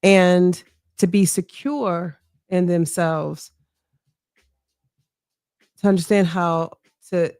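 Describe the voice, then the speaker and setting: Middle-aged woman w a strong low voice, thoughtful and earnest, lots of "right?", dry mic. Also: steady tone, darkish tone, clean tone, no echo. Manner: earnest, reflective, measured with frequent "right?" tags. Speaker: mayoral candidate guest. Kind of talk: candid interview talk.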